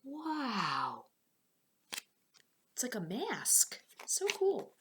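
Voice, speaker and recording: A woman's voice: fairly high pitch, wildly swooping pitch, very slow, fairly bright tone, a little hiss or hum. A woman teacher reading a children's storybook aloud, expressively, with asides spoken directly to her young listeners.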